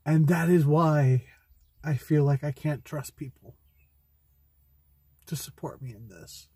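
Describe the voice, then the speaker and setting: A young man speaks slowly and haltingly into a phone held close in a parked car, heartfelt and searching for words. His voice is husky and dark-toned, at an ordinary pitch, with a very unhurried pace. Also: no room sound. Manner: slow, halting and heartfelt, with restarts. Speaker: vlogger. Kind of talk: emotional personal vlog. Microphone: phone camera close in front.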